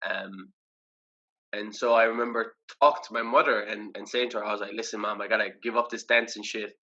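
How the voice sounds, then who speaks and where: A man's voice: on the low side, steady tone, brisk, pushed and bright, highs cut off. A young Irish man in casual interview chat, reflective and loose, full of fillers and restarts. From Ireland. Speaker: podcast guest.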